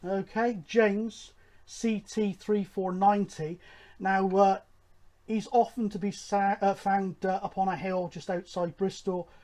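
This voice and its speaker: A man presenting a hobby show, talking casually and in a halting, rambling, informal way to viewers. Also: sits high, steady tone, darkish tone. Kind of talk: casual update and announcements to viewers.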